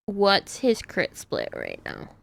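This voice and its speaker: A woman's voice: mid-range voice, wildly swooping pitch, takes it slowly, creaky, no room sound. A woman streamer chatting casually on a livestream, thinking aloud about game builds and laughing often.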